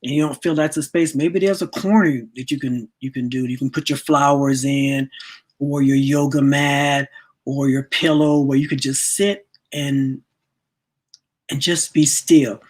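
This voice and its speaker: A woman's voice: a bass voice, fairly even intonation, a little slow, a little mellow, breathy edge. A woman in her late sixties giving a casual wellness workshop talk to her audience, chatty and repeating words as she searches for them. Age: 60s. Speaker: spiritual wellness teacher.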